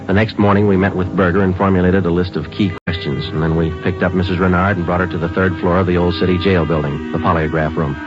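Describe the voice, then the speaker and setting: A male actor as a very low-pitched American police sergeant, terse and deadpan. He speaks in clipped, factual sentences in old radio drama style, on a dull vintage recording with music behind. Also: fast talker, hardly any pauses, lightly acted. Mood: flat narrating. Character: matter-of-fact male police detective sergeant who narrates.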